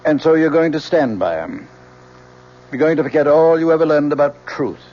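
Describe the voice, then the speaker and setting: An actor playing a grave, principled middle-aged American professor and father, measured and questioning, in a 1970s radio drama. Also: a little high, animated. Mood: solemn, reproachful. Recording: dull old radio recording.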